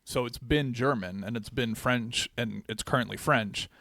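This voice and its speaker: A man's voice: medium pitch, normal speed, vocal fry, fairly bright tone, no echo, clean studio sound. A man co-hosting a casual podcast who talks in a loose, chatty way, full of "like", and explains bits of history. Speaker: podcast co-host.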